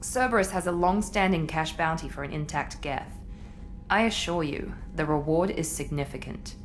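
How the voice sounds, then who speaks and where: An actress playing a businesslike officer who speaks in a poised, formal and persuasive way. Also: female voice, low-pitched, moderate pace, faint music behind, lightly acted. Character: cool, businesslike female officer of a secret organization. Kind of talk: video game cutscene acting. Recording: game audio with score.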